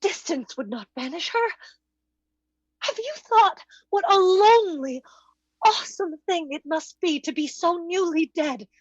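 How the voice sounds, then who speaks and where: A woman's voice: very high-pitched, lively intonation, measured pace, no echo. A woman playing a dainty, dutiful, distressed young woman in an old-time radio drama, pleading earnestly and melodramatically, on a slightly muffled recording. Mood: awed, pitying.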